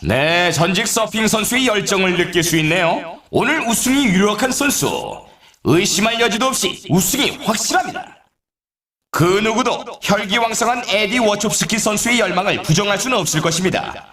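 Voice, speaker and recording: A man playing an excitable, showy snowboard race announcer in a video game, delivering scripted sports commentary in a dramatic style. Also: high voice, moderate pace, a crowd in the background. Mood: dramatic, admiring. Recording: roomy echo, slightly distant mic.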